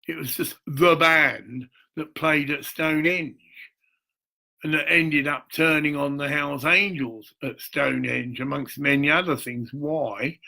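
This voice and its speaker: A man's voice: a little high, vocal fry, bright, forceful tone. An older man, rambling through a theatrical, emphatic monologue in a self-filmed video. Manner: rambling, mystical, addresses viewers directly. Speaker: charity founder.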